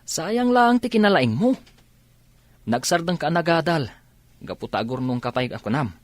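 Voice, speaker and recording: An actor playing a teasing, nagging friend in a radio drama, with an Ilocano Filipino accent. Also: male voice, on the high side, expressive, moderate pace, dry recording, close to an everyday voice. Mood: teasing, urging. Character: teasing, nagging close friend of a young driver.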